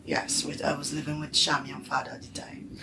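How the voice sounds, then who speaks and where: A woman's voice: low-pitched, level tone, brisk, gravelly, noisy background. An older woman from Trinidad with a thick Trinidadian accent, talking plainly and emphatically in a casual family conversation. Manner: plain-spoken, emphatic, broken Caribbean grammar. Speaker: grandmother guest.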